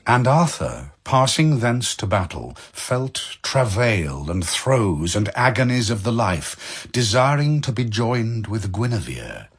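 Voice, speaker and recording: A man giving a scripted dramatic narration of verse, solemn and measured like a storyteller. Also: lowish voice, big pitch swings, breathy edge.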